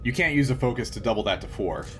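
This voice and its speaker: A man working as game master, narrating a tabletop game in a halting, conversational way with frequent chuckles. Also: medium pitch, animated, fairly bright tone, a little hiss or hum.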